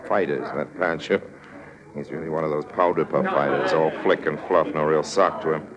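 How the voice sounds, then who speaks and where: A man's voice: very deep voice, steady tone, normal speed, highs cut off. An actor playing a suave, wry swindler who narrates his own con in a confiding 1950s radio drama style. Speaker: narrator and lead character. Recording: old radio recording with crowd noise.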